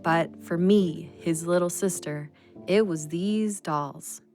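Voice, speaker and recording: A wistful, low-voiced younger sister. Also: female voice, slow, a little mellow, faint music behind. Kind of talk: intimate audio drama acting.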